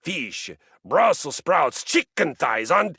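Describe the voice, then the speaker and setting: A boastful Norse warrior who is really a piece of exercise equipment in a video game, with a Norwegian accent and a pompous, theatrical manner. His gravelly, husky voice leaps wildly between low rumbles and high flourishes. The sound is dry and close. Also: sing-song, slow, bright, forceful tone, no echo, heavily acted. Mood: grandiose. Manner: pompous, theatrical swings in pitch. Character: boastful Norse-style male exercise machine, honor-obsessed warrior. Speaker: dating game character.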